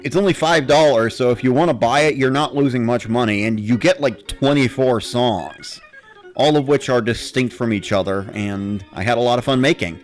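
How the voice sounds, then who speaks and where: A man narrating in the first person with an expressive, dramatic delivery. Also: mid-range voice, moderate pace, faint music behind. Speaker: narrator of a dramatic reading series.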